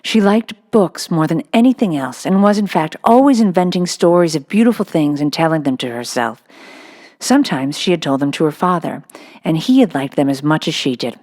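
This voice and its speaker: A woman with a low voice narrates a children's book. Her delivery is scripted storytelling, read aloud for young listeners. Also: animated, a little fast, darkish tone.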